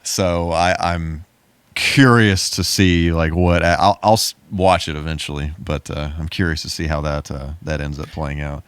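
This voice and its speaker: A man with a deep, resonant voice co-hosts a casual podcast. He speaks in a laid-back, halting, informal way, and the sound is close and dry. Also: fairly even intonation, clean tone, dry recording. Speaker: podcast co-host. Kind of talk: casual, informal movie chat.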